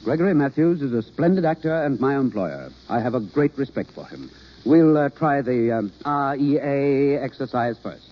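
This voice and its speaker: A man's voice: medium pitch, expressive, moderate pace, lightly acted. An actor playing an earnest, reserved male drama coach, formal and hesitant, then fervent, in vintage radio drama style. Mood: formal, loyal. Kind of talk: 1930s-40s radio drama acting. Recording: dull old radio broadcast recording.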